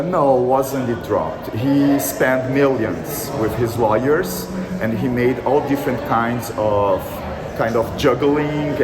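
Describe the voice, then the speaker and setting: A man from southern Brazil with a Brazilian Portuguese accent, answering a street interview in English, hesitant and earnest, with many fillers and restarts. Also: mid-range voice. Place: outdoor polling site in a busy city crowd. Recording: echoey street with loud crowd.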